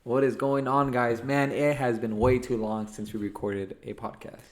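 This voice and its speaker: A man's voice: medium pitch, little pitch movement, normal speed. A young man hosting a casual podcast chat, upbeat and teasing, laughing often with his co-hosts. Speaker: podcast host.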